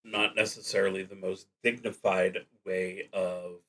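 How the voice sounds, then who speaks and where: A man streaming a solo roleplaying game, thinking aloud and reading prompts in a halting, deliberative manner, sometimes murmuring to himself. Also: low voice, fairly even intonation, fairly bright tone.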